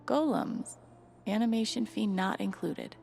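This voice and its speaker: A woman's voice: mid-range voice, measured pace, creaky, a little mellow, soft background music. An actress playing a wry, curious young woman roleplaying as a bard in a video game, talking conversationally. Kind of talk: video game acting, inner monologue.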